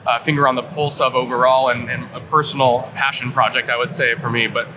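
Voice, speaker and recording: A man from rural Kansas, a company director, answering interview questions in a relaxed, thoughtful way. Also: medium pitch, brisk. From rural Kansas, United States. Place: trade show floor. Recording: live event with clear background noise. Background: show-floor ambience.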